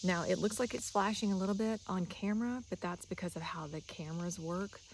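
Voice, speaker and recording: A woman's voice: on the low side, brisk, background noise. A woman giving a casual, chatty, instructional product demonstration. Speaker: product reviewer.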